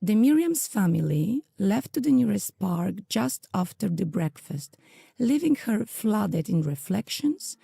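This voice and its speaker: Woman, very creaky, husky, dry close recording, scripted story narration. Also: medium pitch, very animated, unhurried, dark tone, no echo. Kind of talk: scripted audio drama narration.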